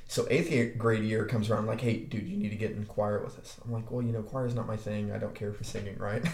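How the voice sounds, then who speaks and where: A young man from Arkansas with a deep voice, co-hosting a podcast and telling laid-back stories full of restarts and swearing. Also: fast talker, gravelly, darkish tone, a little hiss or hum. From Arkansas, USA. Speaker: podcast co-host. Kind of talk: casual chat. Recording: echoey room.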